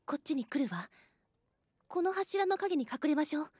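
Devoted schoolgirl heroine for voice drama, female actor: extremely high voice, gentle and protective, shy in love, dry recording. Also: expressive, moderate pace, no room sound. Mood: hushed, protective. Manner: gentle, reassuring, feminine phrasing. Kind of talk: Japanese anime-like voice drama acting.

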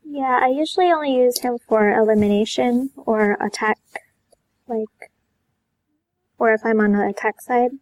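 A woman with a fairly high voice joins a casual podcast as a guest. Her answers are short and understated, with little room sound around them. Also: moderate pace, a little mellow, no room sound, poor audio. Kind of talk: casual chat.

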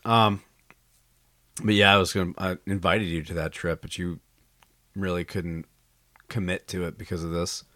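Crude, rambling man with a very deep voice. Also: steady tone, normal speed, long pauses, sharp tone, no room sound, studio quality. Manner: crude, rambling, swears a lot. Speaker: podcast host. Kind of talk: casual chat. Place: indoor room recording a podcast. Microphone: studio mic he monitors himself.

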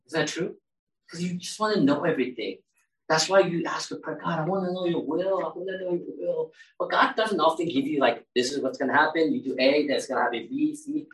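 A man's voice: fairly high pitch, a little fast. A man preaching a sermon in a conversational way, asking his congregation questions as he goes. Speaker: pastor. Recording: roomy echo, mic at a distance.

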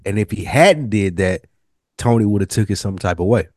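A man co-hosting a podcast, calmly explaining things in a casual chat about a TV show. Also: on the low side, brisk, dry recording, studio quality. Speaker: podcast co-host.

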